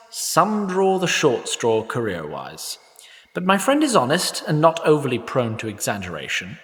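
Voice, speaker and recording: A man's voice: fairly high pitch, a bit bright, long reverb tail. A man narrating a comic fantasy audio drama in a wry, formal storytelling manner. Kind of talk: scripted narration.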